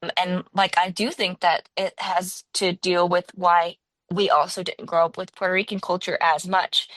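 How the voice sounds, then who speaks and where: A woman from Northwest Florida hosting a podcast, telling stories in a casual, animated way and filling her talk with "like". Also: fairly low pitch, normal speed, no room sound. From Northwest Florida, USA. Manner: animated storyteller, heavy use of "like", acts out quotes. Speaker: podcast host. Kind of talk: casual conversational interview.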